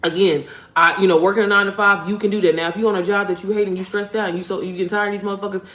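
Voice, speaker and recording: Fast-talking, deep-voiced woman coaching online. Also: fairly even intonation, fairly bright tone, roomy sound. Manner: blunt, profane, slangy, addresses viewers directly. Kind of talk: casual motivational vlog talk.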